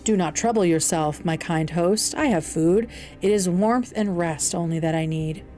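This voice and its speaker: A woman's voice: fairly low pitch, moderate pace, warm tone, soft background music, natural voice. A woman voicing a lonely male outcast monster in an audiobook, eloquent, formal, earnest and anxious. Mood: polite. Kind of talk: audiobook character dialogue.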